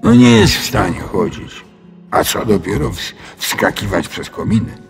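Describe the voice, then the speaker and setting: A man's voice: lowish voice, wildly swooping pitch, a little slow, vocal fry, soft background music, heavily acted. A man voicing a big, friendly, bumbling male dragon in a children's audio story, with hesitant, drawling, sheepish speech.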